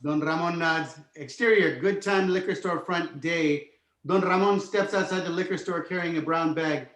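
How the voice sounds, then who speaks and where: Male narrator for script read-through, slightly high voice, echoey room. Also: normal speed, a bit bright. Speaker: stage directions narrator.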